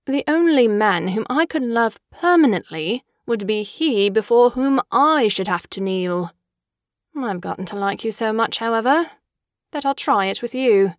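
A woman's voice: a little high, animated, measured pace, dry recording, studio quality. An actress playing a fickle, imperious young woman in an audio drama, poised and articulate, with a mocking manner. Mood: assertive.

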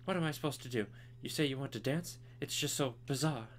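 Man doing a gentle young princess, lifted soft voice, polite, game dub by a let's player. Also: medium pitch, quick pace, slight background noise, voice pushed up, close to an everyday voice.

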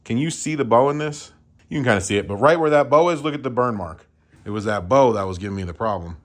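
A man's voice: mid-range voice, animated, fast talker. Chatty, self-mocking middle-aged workshop man. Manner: chatty, rambling and self-deprecating. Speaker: woodworking channel host. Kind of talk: casual how-to vlog talk. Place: small home garage workshop, indoors.

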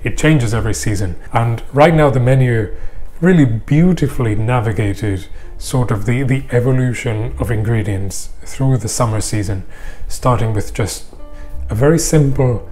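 A young man talking to camera as a vlogger, sharing a personal experience in a measured, articulate and gently emphatic way. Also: mid-range voice, soft background music. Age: young adult. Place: small indoor room or office. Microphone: clip-on lapel mic.

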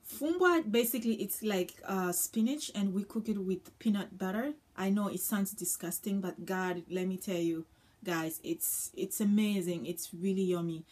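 A woman's voice: medium pitch, moderate pace, darkish tone. A young woman from Congo, chatting casually to camera with many ums and uhs. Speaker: vlogger. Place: small indoor room with floral curtain.

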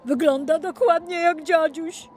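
A woman voicing a plucky, excitable young male talking vehicle in an emphatic way for a children's story. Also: very high voice, measured pace, soft background music, pitched above the performer's own voice. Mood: earnest. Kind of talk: children's audio story acting.

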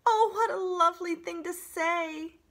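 A woman voicing a theatrical, easily flattered female woodland creature in a storybook, with a dramatic manner. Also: very high-pitched, a little slow, pitched above the performer's own voice, exaggerated voice.

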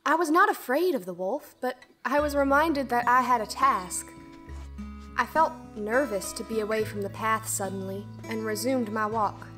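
An earnest, dutiful young girl acted by a woman with a fairly high voice. She tells her own storybook tale in formal first-person narration, with soft music underneath. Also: normal speed, lightly acted. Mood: earnest, reflective.

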